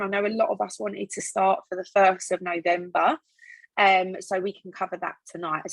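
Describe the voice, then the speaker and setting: Woman host, croaky, upbeat, chatty, dry close sound. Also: medium pitch, fairly bright tone, no room sound, poor audio. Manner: enthusiastic, chatty, many fillers. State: croaky voice after a weekend away. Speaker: team leader hosting a sales training call. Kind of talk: casual upbeat team call.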